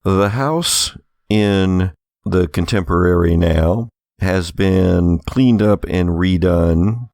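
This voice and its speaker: Male game master, very low and creaky, wry improvised horror narration. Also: slow pace, darkish tone, no room sound, clean studio sound.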